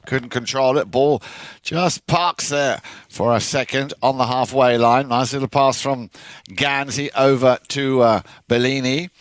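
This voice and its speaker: A man giving measured play-by-play commentary on a polo match, with frequent fillers. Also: medium pitch, steady tone, dry recording.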